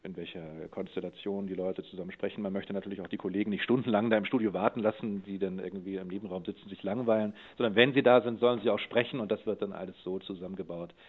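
A low-pitched man comes through a thin, phone-like line. He talks casually as an interviewed voice actor and explains things thoughtfully, with plenty of detail. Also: steady tone, fast, hardly any pauses, breathy edge. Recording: tinny, phone-like narrow line.

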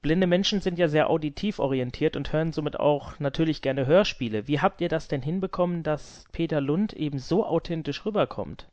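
A man's voice: on the high side, expressive, a little fast, few pauses, a little mellow, no room sound. A man hosting an interview, asking prepared questions. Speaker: podcast host and interviewer. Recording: dry, slightly dull lo-fi sound.

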